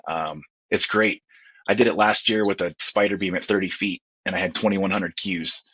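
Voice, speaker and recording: A man's voice: deep voice, fairly even intonation, normal speed, sharp tone, a little hiss or hum. A man chatting casually as a panelist in a laid-back, colloquial way, heard through muffled lo-fi audio. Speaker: panelist on a ham radio discussion show. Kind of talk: casual chat.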